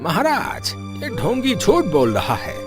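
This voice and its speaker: A man's voice: high-pitched, huge pitch swings, a little slow, full character voice. A man voicing an angry, suspicious royal minister in a cartoon story, with music behind him. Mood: accusing. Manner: accusing, agitated. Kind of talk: cartoon voice acting. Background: background music.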